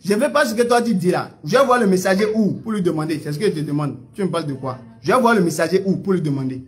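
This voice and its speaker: A man's voice: high-pitched, expressive, racing. A man with a West African French accent hosting a debate, pressing one question again and again and cutting in. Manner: repeats the same question, presses, interrupts. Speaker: debate host. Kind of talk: heated religious debate.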